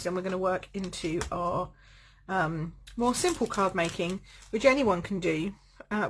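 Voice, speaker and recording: A woman with a croaky voice from a cold, chatting through a live card-making demonstration and greeting viewers by name. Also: medium pitch, a little hiss or hum.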